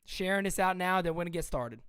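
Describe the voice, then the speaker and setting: Man, live-stream host, excitable, yelling bursts, strained voice. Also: high-pitched, fast talker, clear, resonant tone. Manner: excitable, swings from muttering to yelling. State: straining his voice. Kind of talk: casual live-stream commentary.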